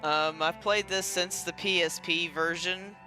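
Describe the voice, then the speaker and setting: Bright-voiced, rambling gaming commentator. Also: mid-range voice, fairly even intonation, measured pace. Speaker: gaming content creator. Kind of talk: casual let's play commentary. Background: faint game music.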